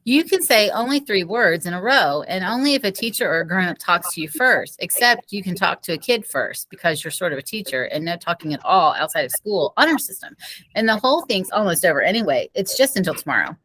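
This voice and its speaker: A woman's voice: fairly low pitch, sing-song, brisk, fairly bright tone, low-quality recording. A woman reading the part of a bold, earnest fifth-grade boy in a children's audiobook. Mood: eager, bold.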